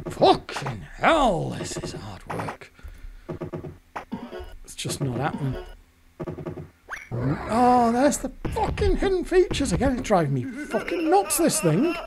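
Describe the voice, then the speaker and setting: A hoarse British man giving rambling, exasperated commentary while playing a fruit machine, swearing often. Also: extremely high pitch. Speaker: video host.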